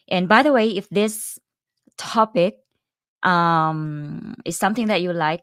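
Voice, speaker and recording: This is a woman with a Filipino accent who is hosting a casual livestream. She talks straight to her viewers and stops now and then to greet the live chat. Her voice sits on the low side and sounds smooth, and the recording is dry and close. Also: no room sound. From Philippines. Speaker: host of a livestream.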